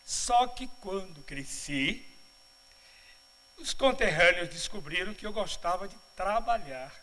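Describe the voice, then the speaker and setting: A middle-aged man from Bahia, Brazil, giving a spiritual lecture at a congress, mixing humorous asides with measured, didactic explanation. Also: high-pitched, expressive, sharp tone, low-quality recording. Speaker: congress speaker. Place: congress auditorium.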